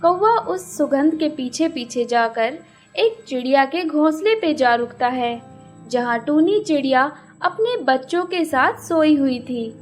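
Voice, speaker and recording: High-pitched woman storyteller. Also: lively intonation, unhurried, faint music behind. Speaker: story narrator. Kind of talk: scripted children's story narration.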